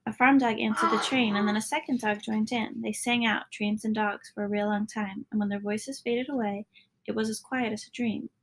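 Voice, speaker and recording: A woman reading a children's story aloud as a narrator, sometimes dropping into a hushed tone at the ends of lines. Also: a little high, steady tone, moderate pace. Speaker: storybook reader.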